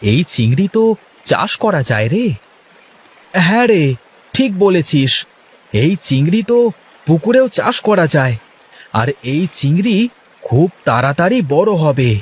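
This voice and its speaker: A man voicing a poor, excitable village fisherman in a folksy moral-story cartoon. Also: fairly high pitch, unhurried, long pauses, husky, exaggerated voice. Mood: excited.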